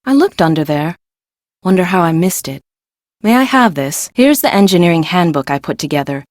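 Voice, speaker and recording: A woman playing a confident, blunt, quirky chief engineer in a video game, brisk and offhand. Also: lowish voice, expressive, no room sound, clean studio sound, natural voice. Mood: offhand, helpful.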